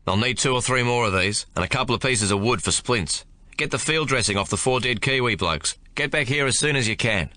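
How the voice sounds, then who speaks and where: A man playing a blunt, steady army sergeant. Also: medium pitch, a little fast, dry recording, pitched above the performer's own voice. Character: gruff male Australian army sergeant.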